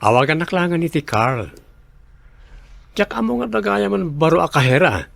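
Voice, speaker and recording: A man's voice: on the high side, big pitch swings, moderate pace, creaky, fairly bright tone, dry recording, exaggerated voice. A man playing a friendly, joking restaurant boss in an Ilocano radio drama, with an Ilocano accent.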